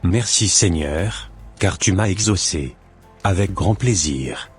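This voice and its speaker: Man voicing a low-pitched, devout, humble man. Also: lively intonation, slow pace, breathy edge, soft background music, full character voice. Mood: grateful. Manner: pious, earnest, formal. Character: devout, humble formerly homeless man, earnest and grateful.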